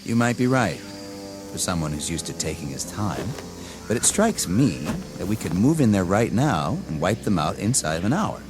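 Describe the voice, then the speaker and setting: An actor playing an arrogant, inexperienced army lieutenant in a radio drama, with a clipped, formal, commanding manner. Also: male voice, deep voice, big pitch swings, moderate pace. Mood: scornful. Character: arrogant, rigid, inexperienced young army lieutenant, eager for combat. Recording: dramatic music under the dialogue.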